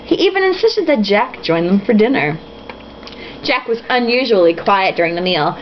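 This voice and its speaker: A woman's voice: mid-range voice, big pitch swings, normal speed. A woman reading a novel aloud in a casual, comic way, with sarcastic, foul-mouthed asides. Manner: tipsy drinking-game reader. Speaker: host reading a novel aloud with mocking commentary. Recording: dull lo-fi with faint hiss.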